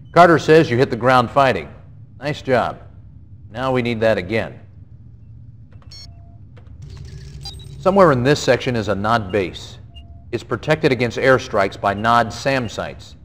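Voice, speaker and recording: An actor playing a brisk, gruff general who briefs a field commander in a clipped, authoritative way in a video game cutscene. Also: male voice, medium pitch, a bit bright, natural voice. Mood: stern, pressing. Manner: clipped, authoritative, matter-of-fact. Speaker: military commanding officer. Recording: noisy 1990s game video audio.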